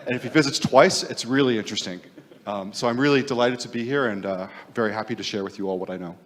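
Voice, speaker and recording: A man's voice: medium pitch, quick pace. Man from Hong Kong in a reverberant hall. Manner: friendly, lightly joking. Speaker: panelist, fund manager. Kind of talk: panel self-introduction.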